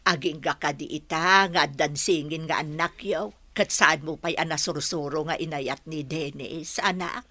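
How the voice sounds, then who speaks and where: A Filipino woman playing a concerned, gentle middle-aged mother in a radio drama, coaxing as she talks. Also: low voice, unhurried, few pauses, no room sound, exaggerated voice. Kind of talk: Philippine radio drama acting.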